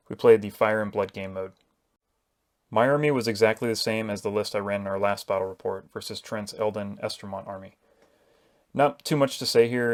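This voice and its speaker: A man hosting a tabletop miniatures channel, narrating a prepared introduction to a game. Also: on the low side. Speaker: host of a miniatures battle report channel.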